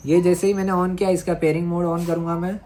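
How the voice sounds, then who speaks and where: A man's voice: sits high, little pitch movement, fast talker, a little mellow. A man from India presenting a hands-on tutorial, explaining and repeating each step in an instructional way. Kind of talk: hands-on step-by-step product demonstration. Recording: clear background noise.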